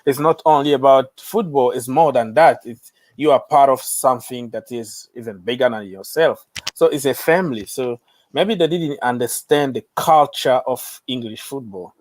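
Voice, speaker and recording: A man's voice: medium pitch, moderate pace, dry recording. A man hosting a casual football fan discussion, giving his opinions with feeling.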